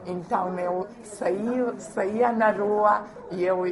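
A woman from Croatia talking casually as an interview guest, in careful, halting non-native Portuguese with occasional Spanish slips. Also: medium pitch, fairly even intonation, a crowd in the background, rough recording.

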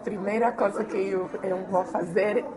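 A woman from Croatia talking casually as an interview guest, in careful, halting non-native Portuguese with occasional Spanish slips. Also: mid-range voice, fairly even intonation, background noise.

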